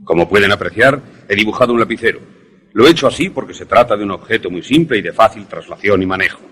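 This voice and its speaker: A man acting an enthusiastic professor in a radio drama, lecturing in a deliberate, didactic, theatrical way. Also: lowish voice, a bit bright, slightly rough. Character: enthusiastic male professor demonstrating an invention to students.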